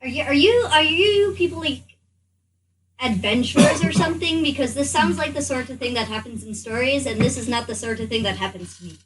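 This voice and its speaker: A woman's voice: high voice, fairly quick, faint music behind. A woman improvising as a player in a tabletop roleplaying game, stammering and repeating herself as if flustered. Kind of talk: improvised in-character game chat. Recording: distant mic, faint room noise.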